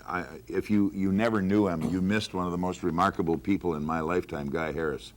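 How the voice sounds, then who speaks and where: An older man, a veteran radio personality reminiscing in an animated, folksy way in a group interview. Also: low-pitched, brisk.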